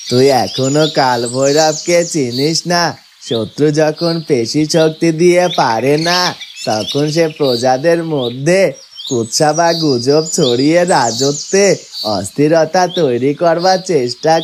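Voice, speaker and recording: Man voicing a watchful, fairly high-voiced cartoon helper. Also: slow, background noise. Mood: knowing, serious. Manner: serious, explanatory.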